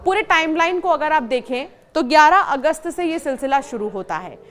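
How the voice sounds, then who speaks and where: A woman's voice: sits high, expressive, normal speed, a bit bright. A woman news presenter reporting in an emphatic, explanatory way, posing questions as she goes. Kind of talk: news reporting to camera, semi-scripted explainer.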